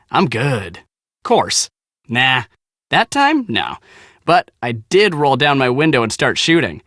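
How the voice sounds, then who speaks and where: An actor playing a cocky, excitable young American storm-chasing photographer in a video game, slangy, boastful and dramatic. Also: male voice, mid-range voice, dry recording, studio quality. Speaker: video game character, storm team media guy. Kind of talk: animated casual game dialogue.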